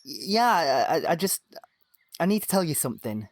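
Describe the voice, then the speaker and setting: A man playing a shy, nervous young man in an audio drama, talking haltingly and unsurely. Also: a little high, big pitch swings. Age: young adult. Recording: noticeable background noise with birdsong.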